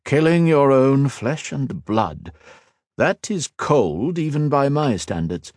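A man voicing a cold, mocking villainous male sorcerer who taunts slowly and theatrically. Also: medium pitch, big pitch swings, darkish tone, no room sound, studio quality, pitched above the performer's own voice. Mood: taunting.

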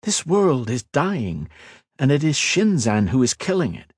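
A man voicing an earnest, calm male mage lord who speaks evenly and respectfully. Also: medium pitch, a little slow, husky, no room sound, clean studio sound, voice pushed up. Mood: persuading.

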